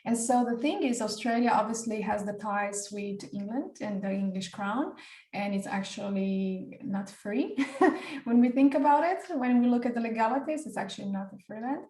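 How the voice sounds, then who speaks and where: A woman's voice: on the high side, moderate pace, dark tone, reverberant, distant mic. A woman from Slovenia, a podcast guest talking casually about spiritual topics, often hesitating and restarting. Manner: hesitant, many fillers and restarts.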